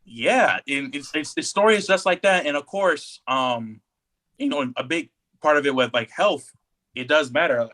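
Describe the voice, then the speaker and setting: Bright-voiced man chatting on a podcast. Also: a little high, moderate pace. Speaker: podcast co-host. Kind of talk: casual enthusiastic podcast chat about anime.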